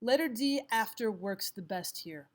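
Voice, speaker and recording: Higher-voiced woman teaching a lesson. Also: expressive, unhurried, noisy background. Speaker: English grammar teacher. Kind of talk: scripted lesson narration, reading quiz items aloud.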